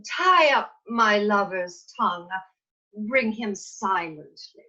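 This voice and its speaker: A woman playing a regal, doting fairy queen in a Shakespeare table read, speaking measured verse theatrically over a video call. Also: mid-range voice, animated, bright, forceful tone. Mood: commanding. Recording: muffled video call audio.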